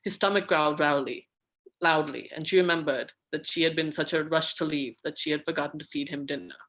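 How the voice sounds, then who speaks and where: Indian American male author, fairly high voice, earnest flowing delivery over a video call. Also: brisk, sharp tone, poor audio. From United States, Indian American. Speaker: author on an online panel. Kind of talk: self-introduction and reading from his fiction.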